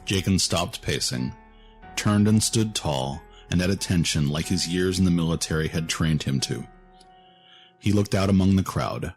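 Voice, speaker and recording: A man's voice: low voice, normal speed. A man narrating a dramatic audio story from a script. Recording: music behind the voice.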